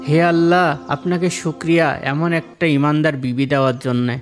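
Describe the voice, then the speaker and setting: A man voicing a poor, patient, devout and generous husband in a moral-tale cartoon, speaking softly and humbly. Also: on the high side, brisk, soft background music, lightly acted.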